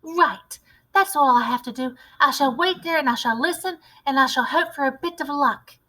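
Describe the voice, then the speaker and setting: Here is a small, brave mouse who was once a boy, acted out by a woman reading a storybook. The voice is high and bright, with an earnest, excitable eagerness. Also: normal speed. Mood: confident.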